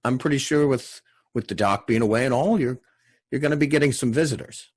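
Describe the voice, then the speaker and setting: A man's voice: medium pitch, a little fast, husky, natural voice. A man acting a chatty, insistent small-town helper in a TV drama read, stammering and repeating himself. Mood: matter-of-fact, insistent.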